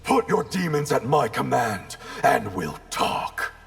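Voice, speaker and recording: An actor playing a stern, distrustful warrior in a video game, speaking in a curt, cold, gravelly, commanding way. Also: male voice, medium pitch, sing-song, slow, a bit bright, heavily acted. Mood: cold, suspicious. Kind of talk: dramatic video game acting.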